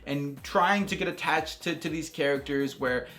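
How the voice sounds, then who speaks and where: A man's voice: a little high, moderate pace, fairly bright tone. A man giving casual, enthusiastic video game commentary as a reviewer, emphatic and repeating phrases for stress. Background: background music.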